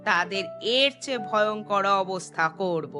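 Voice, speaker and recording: A woman's voice: medium pitch, measured pace, music in the background, lightly acted. A woman voicing a vindictive, commanding, wealthy village matriarch in a cartoon, with a scornful manner. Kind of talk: Bengali cartoon dialogue.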